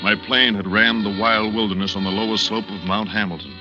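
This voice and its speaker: An actor playing a cold, calculating pilot who narrates a 1940s radio thriller in a clipped, deliberate, dramatic way. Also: male voice, fairly low pitch, fairly even intonation, lightly acted. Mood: quietly relieved. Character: cold, calculating male pilot plotting his own faked death. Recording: muffled old radio recording, loud music behind.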